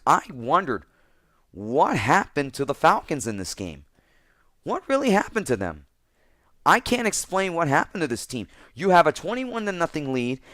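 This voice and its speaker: A man with a slightly hoarse voice, giving casual football commentary as a picks vlogger and rambling, restarting his sentences often. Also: mid-range voice, fairly bright tone, no echo, studio quality.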